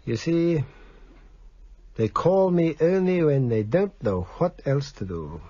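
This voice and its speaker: A gentle Viennese doctor in a radio play. His voice is fairly high and husky, with lively rises and falls, and he questions precisely and formally. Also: slow, full character voice. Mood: musing, precise. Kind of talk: radio drama acting. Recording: slightly dull radio recording.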